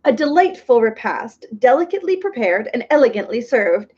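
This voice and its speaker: A puffed-up, long-winded male bug from a storybook, as a woman reader puts him on. He speaks in a high, swooping voice, fussy and self-important. The recording sounds dull and lo-fi. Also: sing-song, normal speed, a little mellow, husky. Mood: pompous. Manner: grandiloquent, agrees with everyone. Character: pompous fussy male humbug insect, wordy.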